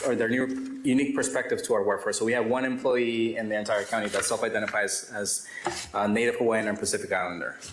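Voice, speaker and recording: A man's voice: medium pitch, slight background noise. A man giving formal opening remarks at an event, reading figures aloud with frequent fillers and restarts. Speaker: event presenter. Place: event hall. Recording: roomy hall sound.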